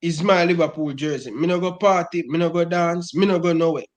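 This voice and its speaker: A man with a high, lifted voice and a thick Jamaican Patois accent. He's a middle-aged football fan on a rant, pressing every point hard and tacking "brother" onto nearly every phrase. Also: fairly even intonation, brisk. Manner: emphatic, repeats "brother" constantly.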